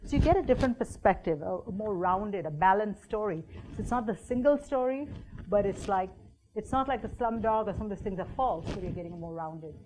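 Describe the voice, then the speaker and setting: A woman's voice: medium pitch, brisk, darkish tone, rough recording. A middle-aged woman from India giving an informal workshop talk, conversational, with lots of fillers and acted-out quotes. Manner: conversational, many fillers and restarts, acts out quoted voices. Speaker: workshop presenter. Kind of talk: informal presentation to an audience.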